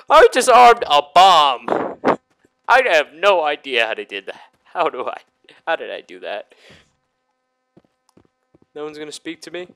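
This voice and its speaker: A man's voice: fairly high pitch, animated, measured pace, long pauses, bright, forceful tone, poor audio. A man giving casual, sweary, exclamatory gameplay commentary as he hosts a gaming video, often talking himself up. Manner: sweary, self-congratulating, exclamatory.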